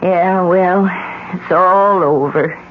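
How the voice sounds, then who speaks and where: An actress playing a weary, bitter old mother in a radio mystery drama, halting and resigned in her speech. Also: fairly low pitch. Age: older. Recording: muffled, noisy vintage radio drama recording.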